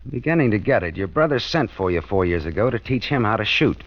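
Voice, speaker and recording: A man's voice: fairly low pitch, animated, fast talker. An actor playing a wry, wisecracking American private detective who narrates his own case in 1940s radio noir style. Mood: wry, challenging. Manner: wisecracking, colloquial, dramatic. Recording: dull old radio recording with music.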